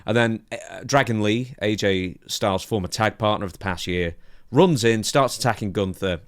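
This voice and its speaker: Low-voiced man, casual podcast co-host. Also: moderate pace, no room sound, clean studio sound. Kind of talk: casual podcast chat, recapping events.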